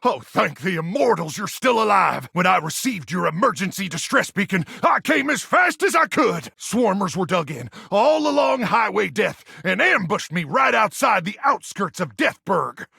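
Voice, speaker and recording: An actor playing an earnest, unhinged muscle-bound post-apocalyptic warrior in a video game, gravelly and raspy, full of over-the-top military bravado. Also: male voice, high voice, big pitch swings, moderate pace, hardly any pauses, bright, forceful tone, dry recording, studio quality, heavily acted. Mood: grave, devoted. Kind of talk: video game character acting.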